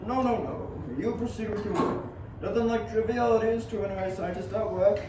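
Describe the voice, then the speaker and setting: A man's voice: high-pitched, a little fast. A young man playing a courteous, approving university professor in an amateur radio play, with formal, genteel phrasing. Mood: courteous, eager. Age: young adult. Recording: very echoey, distant, noisy.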